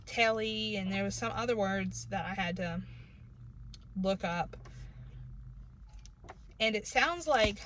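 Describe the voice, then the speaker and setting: A woman's voice: medium pitch, a bit bright, slight background noise. Rambling New England woman in a car. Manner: rambling, filler-heavy, many "like" and "um". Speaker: book reviewer. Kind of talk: casual book review vlog. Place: inside a parked car. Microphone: camera in the car.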